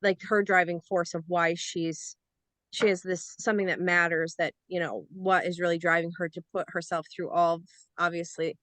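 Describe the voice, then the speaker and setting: Rambling low-voiced woman, dry sound. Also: little pitch movement, moderate pace, fairly bright tone, no room sound. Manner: rambling, leans heavily on "like" and "you know". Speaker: actor on a script reading panel. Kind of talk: casual feedback discussion.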